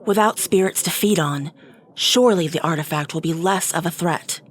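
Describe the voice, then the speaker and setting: An actress playing a level-headed, devout celestial warrior in a fantasy audio drama, measured and earnest in her reasoning. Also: on the low side, breathy edge.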